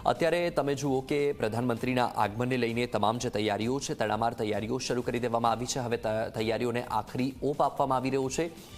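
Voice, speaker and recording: A man presenting a live news bulletin as anchor, restating points as he goes. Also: mid-range voice. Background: music bed under the voice.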